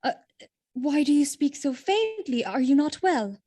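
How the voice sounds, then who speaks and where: A woman playing a gentle, devoted noble wife, tender and solicitous in a Shakespearean audio drama. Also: high voice, sing-song, measured pace. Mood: tender, concerned.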